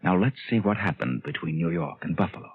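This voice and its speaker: An actor playing a smug, sinister, theatrical plotter with a husky voice in a 1940s radio drama. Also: male voice, low-pitched, expressive, slight background noise. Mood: smug, knowing. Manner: deliberate, theatrical, knowing. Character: smug, sinister male plotter guiding a murder story. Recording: muffled, tinny 1940s radio recording with music.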